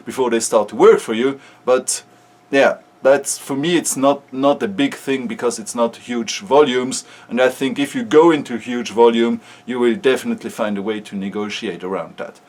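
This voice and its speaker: A Swiss German-speaking man with a Swiss accent, talking frankly in a casual vlog with many restarts. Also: medium pitch. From Switzerland. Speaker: maker sharing experience.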